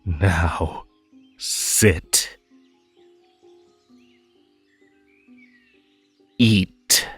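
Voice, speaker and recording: Man acting a slow, sugary, possessive magical host. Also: low voice, fairly bright tone, slightly rough, no room sound, faint music behind, full character voice. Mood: panicked, then stern. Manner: halting, drawn-out, over-polite, swinging between coaxing and stern. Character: possessive magical tea-party host, sugary polite, menacing, unhinged. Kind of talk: scripted roleplay audio drama.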